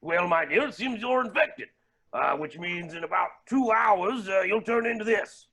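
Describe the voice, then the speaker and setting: Casting: gleeful pompous mad villain, audio comedy; sharp, raspy, wildly swooping delivery. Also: male voice, high voice, animated, moderate pace, heavily acted. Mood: mock-polite, smug. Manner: pompous, theatrical, mock-polite. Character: flamboyant theatrical mad villain, gleeful, scheming, condescending. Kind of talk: comedic audio drama acting.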